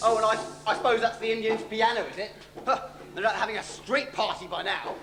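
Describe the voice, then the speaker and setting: A blunt, sarcastic pantomime sidekick played by an amateur actor, matey and colloquial. The stage recording is echoey, with clear background noise. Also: mid-range voice, steady tone, a little fast, close to an everyday voice. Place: theatre stage before an audience.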